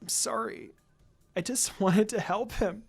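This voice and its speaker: A man's voice: high voice, unhurried, vocal fry, breathy edge, faint music behind, voice pushed up, heavily acted. A man voicing an earnest, determined and emotional young female ninja in an anime fan story. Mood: tearful. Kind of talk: first-person anime fan fiction acting.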